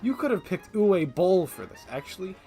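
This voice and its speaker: A man's voice: high voice, big pitch swings, moderate pace, mellow. A man giving casual, opinionated scripted commentary as a video essayist, with a sarcastic streak. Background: background music.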